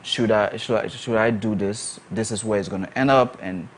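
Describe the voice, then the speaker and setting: Low-voiced man chatting as a guest. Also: moderate pace, a little hiss or hum. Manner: relaxed, laughs easily, explains with examples. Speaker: guest content creator. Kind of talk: casual interview chat. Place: TV studio.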